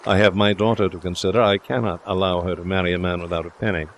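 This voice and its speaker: A man voicing a stiff old gentleman, an indignant and protective father in an audiobook, with formal speech. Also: low voice, little pitch movement, fast talker, slight background noise. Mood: cold, restrained. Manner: formal, spluttering, restrained. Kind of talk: audiobook character dialogue.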